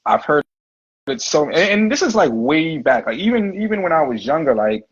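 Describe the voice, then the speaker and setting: Husky-voiced laid-back male podcast host. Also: on the high side, sing-song, moderate pace, lo-fi sound. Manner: laid-back, slangy, heavy on "like" and "you know". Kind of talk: casual livestream interview storytelling.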